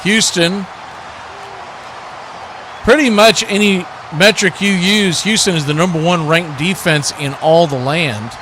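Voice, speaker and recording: A man's voice: high-pitched, fairly even intonation, unhurried, pauses often, background noise. A man calling live football play-by-play as host, in clipped, telegraphic phrases. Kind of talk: live sports play-by-play commentary.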